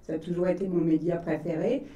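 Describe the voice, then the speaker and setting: A relaxed woman with a Parisian French accent speaks in a mellow, dark voice. She sits somewhat back from the microphone, so the sound is roomy, with echo around it. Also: on the low side, quick pace, recorded from a distance. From France. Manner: relaxed, laughs easily. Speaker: guest. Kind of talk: radio interview.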